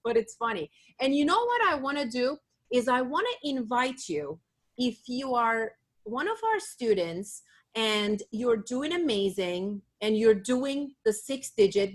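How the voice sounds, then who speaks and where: A woman from Turkey with a Turkish accent, telling her own story in casual chat as a podcast co-host, often laughing and restarting her sentences. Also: on the high side. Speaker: podcast co-host, beauty academy owner.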